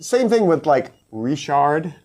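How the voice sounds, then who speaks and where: A man from New Jersey co-hosting a podcast, chatting casually in an animated, rambling way with lots of fillers. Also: fairly high pitch, slow. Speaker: podcast co-host. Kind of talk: casual chat.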